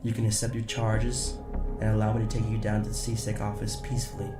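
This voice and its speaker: An actor playing a steady, determined security agent, businesslike and dryly pointed, in a science fiction audio drama. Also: male voice, fairly low pitch, quick pace, recorded from a distance, natural voice. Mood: grimly determined. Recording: roomy echo, music underneath.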